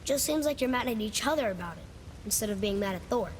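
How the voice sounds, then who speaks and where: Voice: mid-range voice, lively intonation, quick pace, lightly acted. A performer playing an earnest, curious young boy in a video game, casual and questioning. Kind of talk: video game character acting. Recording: clear ambient noise behind.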